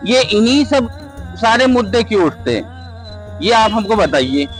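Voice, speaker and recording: Young male vox pop, extremely high register, insistent and repetitive, light music behind. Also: big pitch swings, a little slow, low-quality recording. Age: young adult. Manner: insistent, repetitive, tag questions. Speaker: member of the public interviewed. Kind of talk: spontaneous street interview.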